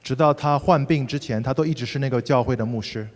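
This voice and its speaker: A man interpreting a sermon consecutively, rendering each phrase fluently and briskly. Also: medium pitch, a little mellow. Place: large church hall. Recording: hall reverb.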